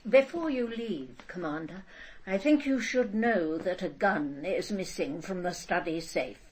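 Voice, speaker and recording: Low-voiced, imperious elderly lady. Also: expressive, a little slow, a little mellow. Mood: coolly informative. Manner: haughty, precise, controlled. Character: imperious, cold elderly aristocratic mother, controlled and proud. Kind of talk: radio drama acting.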